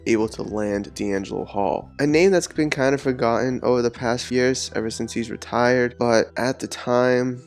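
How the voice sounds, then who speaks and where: A man's voice: medium pitch, steady tone, moderate pace, few pauses, faint music behind. A man hosting a solo sports podcast, talking in an earnest, rambling way while recovering from a cold. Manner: earnest, rambling with repeated words. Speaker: podcast host.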